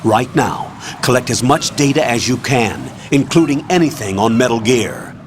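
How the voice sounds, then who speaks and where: A man's voice: medium pitch, animated, normal speed, natural voice. An actor playing a stern male colonel giving firm orders over radio in a video game cutscene. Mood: commanding. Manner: firm, commanding, evasive. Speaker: commanding officer on radio. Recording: game mix with clear background noise.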